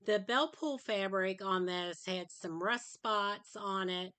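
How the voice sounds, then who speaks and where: A woman's voice: medium pitch, takes it slowly, sharp tone, smooth. A middle-aged woman chatting casually in her home craft room, rambling with frequent ums and uhs, her voice dry after a sinus infection. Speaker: craft vlogger. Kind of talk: casual show-and-tell chat.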